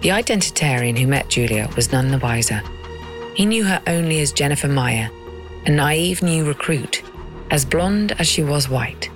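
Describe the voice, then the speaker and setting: A woman narrating and hosting a documentary podcast in a crisp, dramatic storytelling manner. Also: deep voice, expressive, normal speed, soft background music. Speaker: narrator and host.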